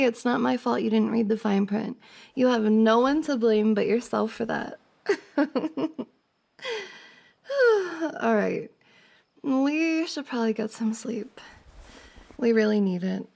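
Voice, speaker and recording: A woman playing a giggly, teasing young woman confessing to her crush in an intimate ASMR roleplay, with a creaky voice. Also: a little high, dark tone, exaggerated voice. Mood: playful, sleepy. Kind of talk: intimate ASMR roleplay, acted as one side of a conversation.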